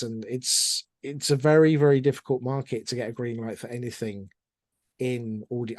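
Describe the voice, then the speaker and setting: A man's voice: mid-range voice, a little mellow, dry recording. Chatty middle-aged man in dry, close sound. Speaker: interview guest, audio drama producer. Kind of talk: casual conversational interview.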